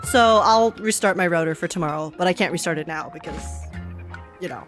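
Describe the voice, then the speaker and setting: A woman's voice: on the low side, fairly quick, a bit bright, faint music behind. A young woman live-streaming a game with casual, slangy reactions, expressively repeating phrases for effect.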